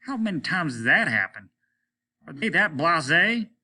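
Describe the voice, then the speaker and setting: An aging rocket captain played by a male reader, weary and scornful, with a piercing, forceful edge. He pitches his voice up and snaps out orders and indignant questions like someone used to command in this audiobook tale. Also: big pitch swings, moderate pace, exaggerated voice. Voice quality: sharp. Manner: snapping, indignant, barking rhetorical questions. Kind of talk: audiobook character voice.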